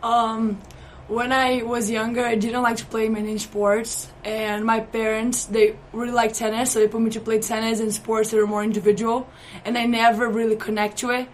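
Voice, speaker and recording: A woman's voice: a little high, little pitch movement, moderate pace, a little hiss or hum. A young woman with a Brazilian accent, a college volleyball player answering interview questions earnestly in slightly non-native phrasing. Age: young adult.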